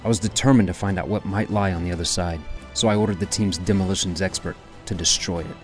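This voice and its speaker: An actor playing a serious military captain, recounting a mission as a dramatic audio drama monologue. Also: male voice, low-pitched, steady tone, fast talker, close to an everyday voice. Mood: grave. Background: soft music.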